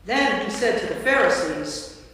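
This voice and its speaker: A woman giving a measured, storytelling narration in a staged scripture reading. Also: mid-range voice, lively intonation, a bit bright. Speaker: narrator, Episcopal priest. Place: large church interior. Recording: very echoey church, distant mic.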